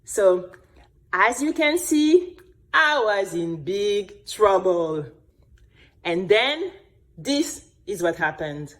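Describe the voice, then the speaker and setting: A woman playing an earnest, resilient young singing contestant, narrating her own story in an expressive, deliberate, dramatic way. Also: mid-range voice. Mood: earnest, confiding. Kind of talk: scripted dramatic first-person storytelling.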